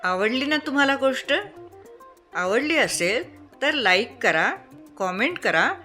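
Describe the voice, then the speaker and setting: A woman narrating a scripted moral story in a measured, expressive storytelling manner. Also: a little high, soft background music. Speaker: storyteller and channel host.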